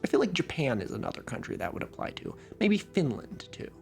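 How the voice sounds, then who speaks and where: A man's voice: brisk, gravelly. A man from Oregon narrating a documentary in a wry, conversational storytelling manner. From Oregon, USA. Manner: wry, conversational storytelling with lively pitch swings.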